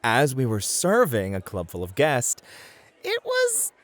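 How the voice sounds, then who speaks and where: An actor playing a beefy, experienced club host, a flirty and politely teasing charmer in a scripted audio roleplay. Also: male voice, medium pitch, wildly swooping pitch, a little slow, fairly bright tone. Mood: composed, explaining.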